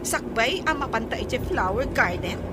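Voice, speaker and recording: A woman's voice: a little high, expressive, normal speed, background noise, lightly acted. A woman playing an imperious, demanding rich madam who bosses her driver about in an Ilocano radio comedy drama.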